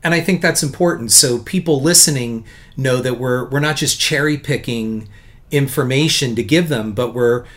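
A man co-hosting a podcast in casual chat, speaking earnestly and slowly, and gently poking fun at himself. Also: mid-range voice. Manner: earnest, self-deprecating, slow and deliberate. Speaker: podcast co-host.

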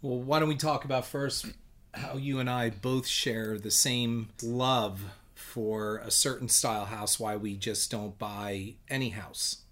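A man's voice: medium pitch, steady tone, a little slow, a bit bright. A man with an American accent co-hosting a podcast, chatting casually in a plain-spoken way. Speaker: podcast co-host. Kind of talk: casual conversational podcast chat.

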